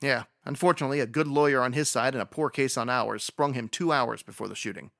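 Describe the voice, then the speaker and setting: A no-nonsense police sergeant played by a woman in a radio mystery. The voice is extremely low and creaky, rattling through firm, matter-of-fact questions at a quick clip. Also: fairly bright tone, exaggerated voice. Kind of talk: radio detective drama acting.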